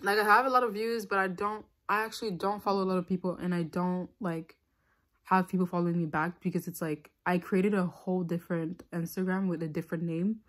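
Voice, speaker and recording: A young woman from Tanzania chats into a phone held close in a small room, winding through a personal story with endless "like"s and restarts. Her voice sits a little low for a woman, and she speaks in a relaxed, offhand way. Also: a little fast. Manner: rambling, lots of "like" fillers. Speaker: vlogger. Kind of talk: casual storytime chat.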